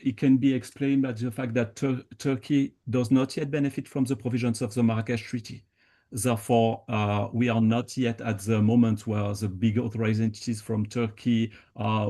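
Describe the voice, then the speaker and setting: Man, conference panelist, measured explanations, mellow tone, frequent fillers. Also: lowish voice. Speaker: panelist answering audience questions. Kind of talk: formal conference Q&A answers.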